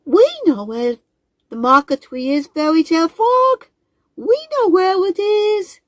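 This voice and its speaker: A tiny squirrel with a very high, squeaky, wobbling voice, close to tears. A woman acts him out in a storybook reading. The sound is close and dry. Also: measured pace, no room sound. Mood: excited. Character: small scared male squirrel, squeaky and tearful.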